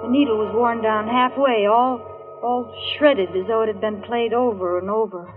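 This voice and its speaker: Tough young dance hall hostess played by a woman, high voice, wary and slangy, old tinny radio crime drama with music underneath. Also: steady tone, normal speed, lightly acted. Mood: grim narrating. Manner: hard-boiled, slangy, wary.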